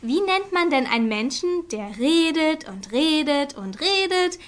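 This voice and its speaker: An impish schoolkid in a children's audio comedy. The voice is high, swooping and slow, needling with mock seriousness. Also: female voice, big pitch swings, a little hiss or hum.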